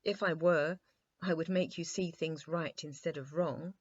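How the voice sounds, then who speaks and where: A woman's voice: deep voice, steady tone, unhurried, husky, dry recording, dull audio. A woman voicing a spirited, frank, cheerful little girl in a children's storybook reading. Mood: merry.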